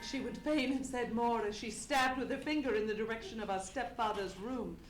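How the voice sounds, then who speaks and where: A woman acting as a grieving, fearful young gentlewoman in an old-time radio mystery. Her voice is very high and her storytelling formal and theatrical. The live stage recording is reverberant, with clear background noise. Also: a little fast, close to an everyday voice. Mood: horror-stricken.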